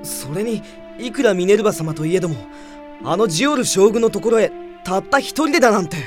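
A man's voice: sits high, lively intonation, fast. An actor playing a loyal, earnest young man with a polite, anxious way of speaking in a radio drama. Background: music.

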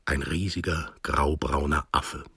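A man's voice: a bass voice, steady tone, measured pace, gravelly, pushed and bright. A man narrating a radio drama from a script in standard German.

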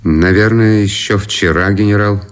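An actor in a Russian radio drama playing a courteous, sympathetic officer, speaking in a measured, restrained way. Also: male voice, on the low side, fairly even intonation, close to an everyday voice. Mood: measured, doubtful.

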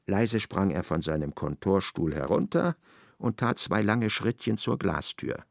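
A male narrator for a literary radio drama. His voice is fairly low with a creaky grain, recorded dry and close, and he tells the story with measured precision. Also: animated, no echo.

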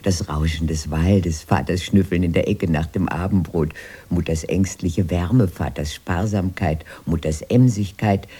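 An actress playing a sentimental, dreamy elderly sister in a radio play, reminiscing wistfully in a breathy, husky voice. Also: female voice, extremely low pitch, a little slow, warm tone, heavily acted.

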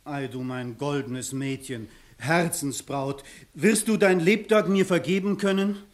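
Rustic young bridegroom, played by a man, fairly high voice, impulsive and soft-hearted, classic radio play. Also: a little slow, close to an everyday voice. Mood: tender, contrite. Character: hot-headed, tender-hearted young peasant bridegroom. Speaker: actor. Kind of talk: 1960s German radio play acting.

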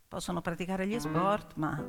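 A woman delivering a theatrical narrative monologue, dramatic and emphatic, swinging between hushed and loud delivery. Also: on the low side, moderate pace. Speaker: stage actress and storyteller. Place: theatre or hall stage. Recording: long hall reverb, music behind. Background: piano music.

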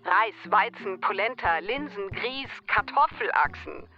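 Gushy coaxing woman selling an idea, radio play, dull sound, soft music behind. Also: medium pitch, lively intonation, measured pace. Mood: enthusiastic. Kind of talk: German radio play dialogue.

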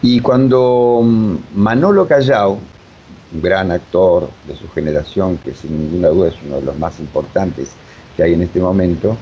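An older man with an Argentine Spanish accent answers interview questions conversationally, in a halting, digressive way, often repeating himself. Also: warm tone. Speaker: interviewed theater director and voice teacher. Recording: dull sound with faint rumbling noise.

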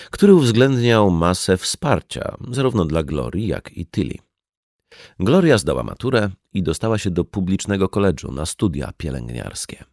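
Low-voiced man narrating an audiobook. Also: no echo, studio quality. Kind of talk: scripted nonfiction audiobook narration.

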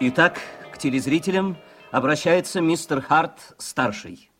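An actor playing a brisk man running a television broadcast in a radio play. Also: on the high side, music in the background, lightly acted. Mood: businesslike. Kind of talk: Soviet radio play acting.

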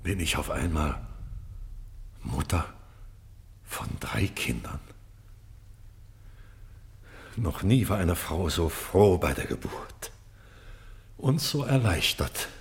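A man's voice: deep voice, sing-song, slow, pauses often, full character voice. An actor playing a stern yet grieving king torn between law and mercy, declaiming solemnly in a classical radio drama. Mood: moved, relieved.

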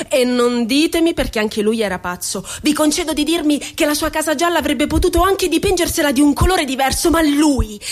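A high female voice playing a confined poet in a radio drama. She thinks aloud theatrically, passionate and wounded. Her delivery moves between biting irony, indignation and tenderness.

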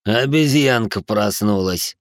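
A man voicing a gruff, suspicious American cop of about forty who talks bluntly and gives orders. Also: lowish voice, takes it slowly, dry recording, studio quality. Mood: mocking. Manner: blunt, rude, commanding.

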